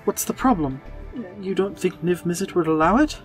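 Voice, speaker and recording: A performer playing a worried, gentle young man in an audio drama, speaking in dialogue. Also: medium pitch, lively intonation, normal speed, faint music behind, lightly acted. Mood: concerned.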